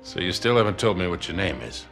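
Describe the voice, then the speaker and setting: A middle-aged American fixer in a cinematic video game. His voice is low and swings expressively up and down. He is gruff and folksy, with a wry, unhurried way of needling. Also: lively intonation, a bit bright, soft background music. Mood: intrigued. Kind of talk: naturalistic video game acting.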